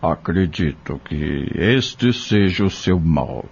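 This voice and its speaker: A kindly Brazilian doctor played by a man in an audio drama, counselling patiently. His voice is low and unhurried, with lively swings in intonation as he gently explains. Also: gravelly, breathy edge, full character voice. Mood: caring, reassuring. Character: kindly male doctor, patient, caring spiritist. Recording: dull lo-fi audio.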